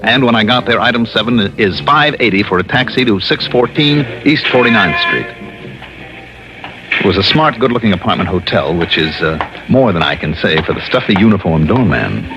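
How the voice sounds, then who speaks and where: A man's voice: on the low side, very animated, normal speed, over music. An actor with an American accent playing a wry, cocky insurance investigator who narrates his case in an old-time radio drama. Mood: matter-of-fact, wry. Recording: dull lo-fi vintage radio recording.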